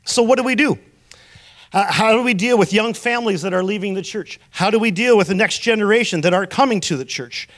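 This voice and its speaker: A man's voice: high voice, fairly quick, a bit bright. A man from Canada preaching a sermon, telling stories and asking rhetorical questions. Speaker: pastor.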